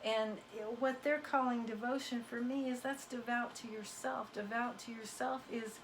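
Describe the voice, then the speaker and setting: A woman's voice: a little high, fairly even intonation, moderate pace, slight background noise, rough recording. A woman who is losing her voice, giving a casual, emphatic spiritual pep talk as a card reader, folksy and preachy in tone. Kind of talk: casual motivational talk to viewers.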